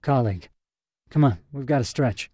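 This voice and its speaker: Male narrator as teasing female scientist, short story dialogue. Lifted pitch, mellow tone, playful delivery. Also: moderate pace, slightly rough, no echo, studio quality, close to an everyday voice. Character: witty, teasing woman scientist, old flame of narrator.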